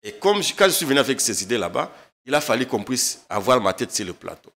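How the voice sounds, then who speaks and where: Lawyer guy in his 30s on a tv panel, fired up, keeps repeating words, mid-pitch voice. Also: big pitch swings, fast, a bit bright. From eastern Congo. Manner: impassioned, emphatic, repeats words. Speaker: lawyer and guest. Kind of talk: studio political interview. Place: TV studio. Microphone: desk microphone.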